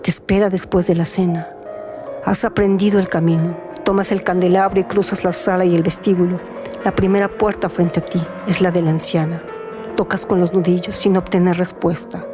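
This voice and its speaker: Woman narrating literature over clear music. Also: lowish voice, flat delivery, normal speed. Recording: music behind voice.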